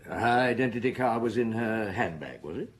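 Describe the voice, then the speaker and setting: An actor playing a shrewd, authoritative Paris police chief who questions in a probing, measured way in a radio drama. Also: male voice, on the low side, expressive, slightly rough. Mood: brisk, probing.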